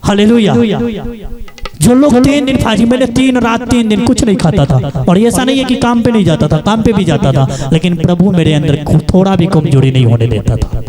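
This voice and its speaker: A man's voice: sits high, animated, brisk, over a noisy crowd. A man preaching a fervent church sermon, exhorting listeners with repeated phrases and rhetorical questions.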